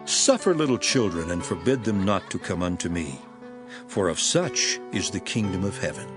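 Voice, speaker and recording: A man playing a grave, earnest male teacher in a dramatized scripture reading, with solemn, emphatic, measured oratory. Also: sits low, very animated, music in the background. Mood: earnest instruction.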